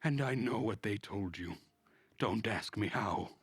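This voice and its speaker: A man voicing a mysterious, urgent double from a parallel world in a visual novel, speaking in a cryptic, halting, insistent way. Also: on the low side, animated, breathy edge, no echo, soft background music, full character voice.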